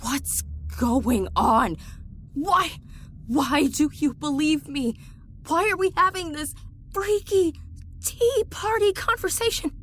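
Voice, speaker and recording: An actress playing an injured, bitter enemy soldier in an audio drama, defiant but rattled, halting and sardonic. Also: female voice, high voice, animated, fairly bright tone, slight background noise, full character voice. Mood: shaken, incredulous.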